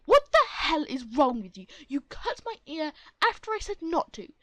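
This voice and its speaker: An indignant, fed-up teenage boy, as a woman narrating puts him on. He protests loudly in a fairly high voice, close to the reader's own. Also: moderate pace.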